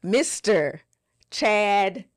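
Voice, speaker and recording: A woman's voice: medium pitch, animated, drawn-out words, no room sound, studio quality. A woman co-hosting a podcast in casual chat, speaking emphatically and enthusiastically and often repeating words. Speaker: podcast co-host.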